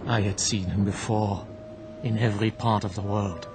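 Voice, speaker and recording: An actor playing a grave old monk in a radio drama, speaking with solemn, deliberate, preacherly certainty. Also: male voice, lowish voice, fairly even intonation, lightly acted. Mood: earnest, insistent. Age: older. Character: grave, zealous old monk, unshakably certain of his faith. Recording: music bed behind the voice.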